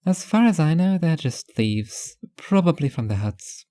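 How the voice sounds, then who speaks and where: A woman's voice: low voice, very animated, unhurried, warm tone, dry recording, clean studio sound. A woman playing a blunt, teasing workaholic wife with a morbid fondness, in an audio story. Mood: blunt, fond.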